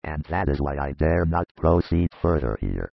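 A deep gravelly remorseful disciple. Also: male voice, lively intonation, normal speed, dry recording, dull audio, heavily acted. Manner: heavy, confessional, brooding. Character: grieving remorseful male disciple, adult. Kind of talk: audiobook reading of a religious narrative.

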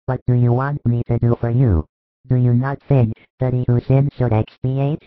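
A man's voice: medium pitch, flat delivery, normal speed, no echo, narrow, tinny audio, lightly acted. A man voicing a stern yet merciful savior, speaking firmly and solemnly in a dramatised scripture story. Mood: grave, questioning. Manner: firm, solemn, authoritative.

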